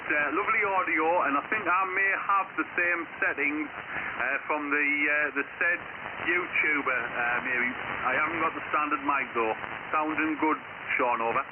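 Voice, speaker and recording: A man on an amateur radio net giving friendly signal reports and brisk sign-offs. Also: on the high side. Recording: tinny shortwave radio with loud static.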